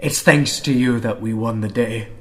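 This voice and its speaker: A man's voice: medium pitch, normal speed, fairly bright tone, close to an everyday voice. A man playing a zealous young cleric who declaims with passion in a fantasy audio drama. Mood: triumphant. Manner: declamatory, impassioned. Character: righteous, zealous young male cleric adventurer.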